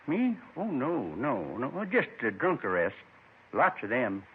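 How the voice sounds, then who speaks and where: An actor playing a weary, meek man in his seventies under arrest, halting and wistful, in a 1950s radio drama. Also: medium pitch, wildly swooping pitch, slight background noise. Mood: meek, friendly. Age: 70s. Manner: halting, plain-spoken, wistful. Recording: muffled 1950s radio recording.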